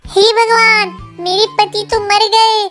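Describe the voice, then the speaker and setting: A woman voicing an excitable female sparrow shopkeeper in a children's cartoon, with a squeaky, childlike voice and dramatic exclamations. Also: slow pace, faint music behind, heavily acted. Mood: distraught, wailing.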